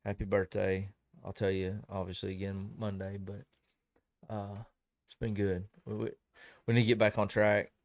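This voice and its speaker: A man hosting a casual podcast chat, laid-back and rambling, laughing often, his voice slightly raspy. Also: on the low side, little pitch movement, normal speed, no room sound. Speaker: podcast host.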